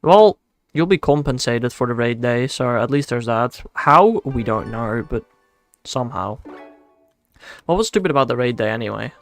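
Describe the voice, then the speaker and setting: Young Dutch-accented male streamer, easygoing and slangy, mid-pitched voice, soft music underneath. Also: lively intonation, moderate pace. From Netherlands. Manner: laid-back and slangy, talks to himself. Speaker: game streamer. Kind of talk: casual livestream chat, answering chat while playing.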